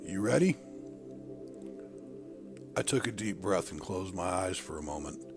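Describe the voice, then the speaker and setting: A man's voice: sits low, fairly quick. A man reading a first-person story in a scripted narration style, stressing the openings of his phrases dramatically. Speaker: audiobook narrator reading fiction. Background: music.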